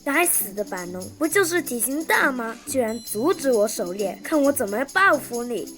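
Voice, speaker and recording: An actor voicing a cocky, scheming, vengeful young predatory dinosaur in an animated cartoon. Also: medium pitch, normal speed, loud music behind the voice, close to an everyday voice. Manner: boastful, plotting. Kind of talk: animated cartoon character acting.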